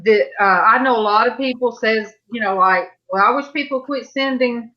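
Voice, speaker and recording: A woman's voice: a little high, normal speed, lo-fi sound. A middle-aged woman, hosting an online church panel in a folksy, chatty way over a video call. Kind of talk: casual chat on a livestream.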